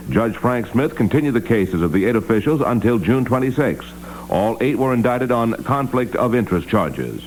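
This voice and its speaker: A man reading local news from a script in a formal, measured 1960s radio newscast manner. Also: medium pitch, low-quality recording. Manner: formal, measured announcer delivery. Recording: 1960s AM radio aircheck, faint hum.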